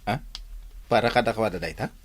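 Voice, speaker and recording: A man's voice: lowish voice, fairly quick, slight background noise, lightly acted. An actor playing a cheerful, affectionate young married man in a radio drama, with a Northern Philippine Ilocano accent. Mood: proud, amused.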